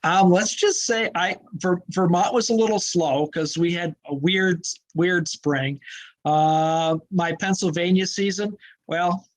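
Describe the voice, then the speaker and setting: A man with a fairly high voice, chatting as a guest about hunting. He is easygoing and relaxed. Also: slow. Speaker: guest hunter. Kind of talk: casual chat.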